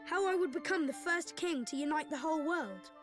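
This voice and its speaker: Woman voicing a high-pitched earnest young boy prince. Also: fairly quick, lightly acted. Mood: earnest, calm. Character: gentle, wise young boy prince from the future. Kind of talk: video game cutscene acting. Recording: orchestral game music behind.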